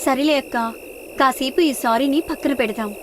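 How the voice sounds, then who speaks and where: A woman's voice: high voice, moderate pace, noisy background, close to an everyday voice. A woman voicing a caring, responsible younger sister with an earnest, coaxing manner in a moral story. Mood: apologetic, gentle.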